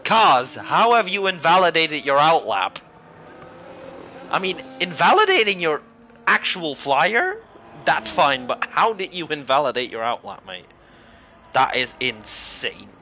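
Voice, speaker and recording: A man's voice: fairly high pitch, big pitch swings, a little slow, pushed and bright, slight background noise. A man from Europe giving casual live sim racing commentary as a livestream host, mixing it with jokey, mock-indignant banter.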